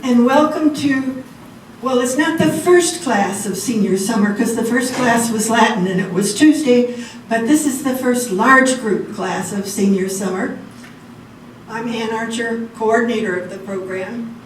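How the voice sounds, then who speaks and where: A woman's voice: on the high side, measured pace. Lady welcoming a class and introducing a speaker, super echoey room, some background noise. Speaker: program coordinator introducing a speaker. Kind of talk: formal spoken welcome and introduction. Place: large room, group class. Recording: very echoey, distant, noisy room.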